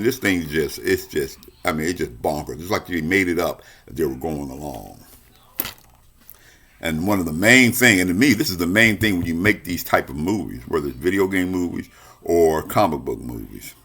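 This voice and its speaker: A man with a very low, rough-edged voice presents a review show in a casual, conversational style. His pitch moves around a lot as he talks, and he puts emphatic weight on key words, often repeating a point. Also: fairly bright tone. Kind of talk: casual review commentary to camera.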